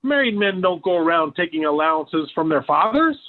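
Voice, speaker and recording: A man's voice: fairly high pitch, sing-song, fairly quick. An actor playing a jovial, conniving middle-aged American father, eager and folksy, in 1940s radio sitcom style. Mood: helpful. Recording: tinny, band-limited old radio recording.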